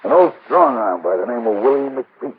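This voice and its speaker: An actor playing an easygoing, slightly flustered local police officer in a 1950s radio crime drama, casual and obliging. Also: male voice, medium pitch, lively intonation, a little fast, breathy edge. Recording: tinny vintage radio recording, faint noise.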